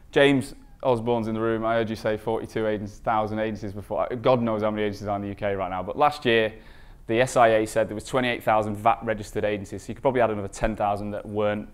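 Man giving a conference presentation. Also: medium pitch. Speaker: conference speaker, recruitment marketing expert.

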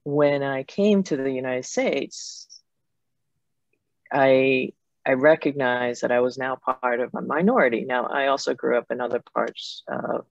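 Creaky-voiced middle-aged woman on video call. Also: a bass voice, unhurried, no room sound. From Japan, with years in Germany and Italy. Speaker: interview guest, foundation president. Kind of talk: conversational interview answers, personal storytelling. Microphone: computer mic on a video call. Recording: dull video-call audio.

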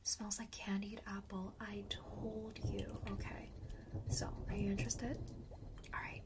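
A woman's voice: medium pitch, level tone, measured pace. Whispering woman in a playful ASMR shop roleplay. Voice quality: breathy whisper, mostly unvoiced. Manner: playful, chatty, repeats phrases for emphasis. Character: friendly, boastful enchanted-forest perfume seller. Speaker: ASMR roleplayer playing a fragrance shopkeeper.

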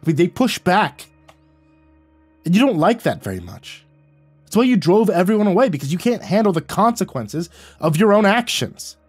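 A man's voice: sits high, sing-song, racing, hardly any pauses, dark tone, breathy edge, dry recording, soft background music, voice pushed up, exaggerated voice. Defiant younger man, male reader acting him, combative and biting, dry close sound. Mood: furious.

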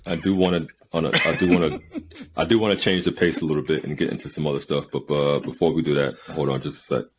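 A man's voice: a bass voice, steady tone, fast, a little hiss or hum. A man hosting a podcast, steering the casual chat to new topics. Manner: steering the talk.

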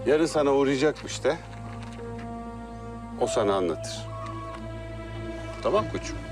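An actor playing a wealthy, self-assured middle-aged hotel owner in a TV drama who gives casual orders. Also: male voice, mid-range voice, animated, a little slow, over music, natural voice.

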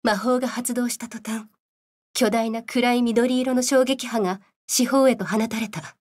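A woman voicing a solemn female scientist in a game cutscene, telling a grim story in a measured, serious way. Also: on the high side, steady tone, lightly acted. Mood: grave, bitter. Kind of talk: dramatic game cutscene narration.

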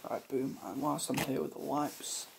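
Chilled roadman barber, played by a young man, ASMR roleplay: hushed, slangy, heavy vocal fry, loud background hiss. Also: medium pitch, steady tone, normal speed. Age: young adult. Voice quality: creaky, hushed. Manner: laid-back, slangy street talk. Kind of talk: ASMR roleplay, softly spoken and whispered. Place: small living room or bedroom indoors. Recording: noisy hissy home recording, clicks.

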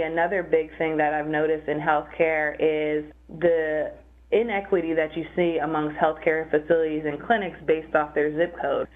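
A young adult woman, a physical therapist, answering interview questions in a measured, explanatory way. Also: deep voice. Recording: phone-like narrow sound.

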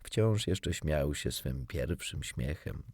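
A man reading a children's story as an expressive audiobook narrator. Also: rumbling low, slow pace, slightly rough, no room sound.

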